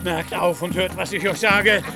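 An actor playing a deluded, grandiose old knight-errant in a radio play, declaiming in pompous, archaic chivalric speech with a gravelly voice. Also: male voice, high voice, fairly even intonation, normal speed, music in the background. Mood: grandly defiant.

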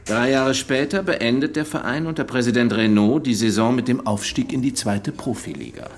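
An actor playing a shrewd, methodical detective in a radio drama, laying things out deliberately and pointedly. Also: male voice, medium pitch, natural voice. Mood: insinuating.

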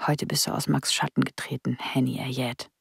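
A woman's voice: rumbling low, flat delivery, normal speed, gravelly, breathy edge, no echo, clean studio sound, heavily acted. A woman acting a dreamy, hesitant young German woman of the 1960s in a radio drama. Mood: dreamy, enchanted. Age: young adult. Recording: loud music behind the voice.

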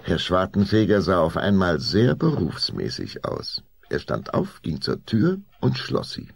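A man's voice: low voice, very animated, measured pace, gravelly, breathy edge, no echo, studio quality. A man narrating a scripted children's story as the storyteller of an audio drama.

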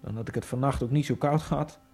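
A man's voice: mid-range voice, fast talker, darkish tone, breathy edge, faint music behind. An actor playing a lonely immigrant man obsessed with speed skating, narrating his thoughts in a wry, brooding radio-drama monologue. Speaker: first-person narrator of a radio play.

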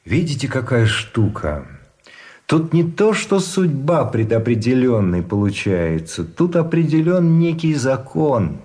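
An actor in a Russian radio drama playing a grave, methodical captive officer who explains things deliberately. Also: male voice, a little high, a little mellow.

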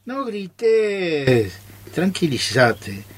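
A man's voice: on the high side, very animated, drawn-out words, exaggerated voice. An Argentine man acting a reckless, bossy amateur scientist who brushes off every worry in a radio play. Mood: nervous improvising. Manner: hushing, dismissive, overconfident.

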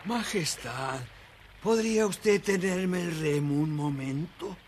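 A man's voice: on the high side, slow, slight background noise. An actor voicing a weary old ferryman who speaks plaintively in an audio storybook. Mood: polite. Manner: lamenting, plaintive. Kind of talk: audio storybook character acting.

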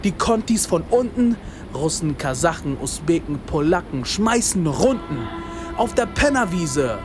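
A man's voice: fairly high pitch, very animated, measured pace, music in the background. An actor playing a bitter young man from an immigrant neighbourhood in a radio drama monologue, speaking in rhythmic short phrases with insistent repetition. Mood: wry, driven. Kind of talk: literary radio drama monologue, spoken-word delivery.

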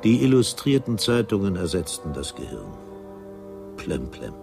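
Male radio drama narrator, bass voice, grave, detached, measured delivery, music underneath. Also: big pitch swings, vocal fry, exaggerated voice.

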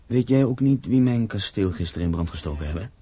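A man's voice: fairly low pitch, lively intonation, fast, dark tone, husky. A man acting in a Dutch radio drama as a proud, grieving castle owner, speaking solemnly and emphatically. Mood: grave, probing.

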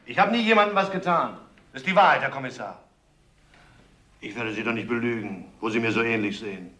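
An actor playing a cheeky, pleading small-time crook in a 1960s television crime show. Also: male voice, mid-range voice, expressive, brisk, bright, forceful tone. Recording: roomy old television soundtrack.

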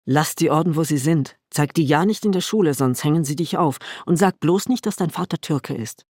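A woman's voice: low-pitched, a little fast, darkish tone, breathy edge, dry recording, studio quality. An actress playing a strict, protective mother who gives her young son orders in a radio drama. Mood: stern. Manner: stern, commanding.

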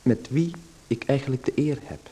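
A man's voice: medium pitch, moderate pace, mellow, slightly rough, natural voice. A man playing a meek, polite host in a radio play, who hesitates and gives in to his visitor. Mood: hesitant.

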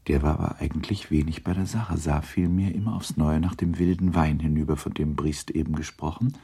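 An actor as a measured, gently ironic narrator of a literary radio drama. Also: male voice, a bass voice, steady tone, creaky, slightly rough, full character voice.

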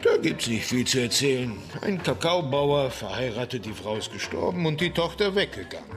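A man's voice: medium pitch, big pitch swings, normal speed, soft background music, lightly acted. A man playing a matter-of-fact, wry village man in a radio drama, terse and knowing. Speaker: actor in a radio play.

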